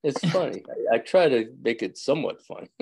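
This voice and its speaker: Older rabbi with a thick New York accent, gravelly, chatty, on a home video call. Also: male voice, medium pitch, very animated, fairly quick, darkish tone. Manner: rambling, joking, laughs often, many restarts. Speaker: rabbi teaching an evening class. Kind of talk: casual chat with class members. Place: indoors at home. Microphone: video call from a phone or webcam.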